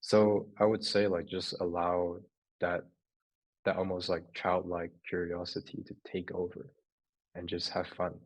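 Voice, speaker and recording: Young male interview guest, Cantonese first language, from Hong Kong, low-pitched, relaxed informal chat with plenty of "like". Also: unhurried, low-quality recording. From Hong Kong, moved to England at fourteen. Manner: informal, says "like" and "you know" often, some swearing. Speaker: podcast guest, writer and photographer.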